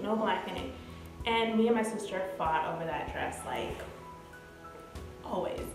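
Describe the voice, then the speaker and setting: Female vlogger, thirties, chatty and rambling storyteller, mid-pitched, heavily reverberant room. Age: 30s. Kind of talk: casual personal storytelling to camera. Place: bare-walled room indoors. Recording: very echoey room, music behind. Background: background music.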